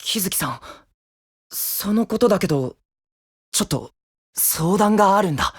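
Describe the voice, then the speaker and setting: A man's voice: high-pitched, measured pace, husky, no echo, full character voice. A man playing an earnest, kind-hearted young defense lawyer who flusters easily, in an audio drama. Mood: gentle, earnest. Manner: earnest, casual, expressive. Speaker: defense attorney. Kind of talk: Japanese audio drama acting.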